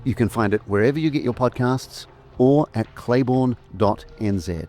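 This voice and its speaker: A man's voice: mid-range voice, moderate pace, darkish tone. A middle-aged or older man presenting a scripted trailer as its narrator. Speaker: presenter and remastering producer. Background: faint music.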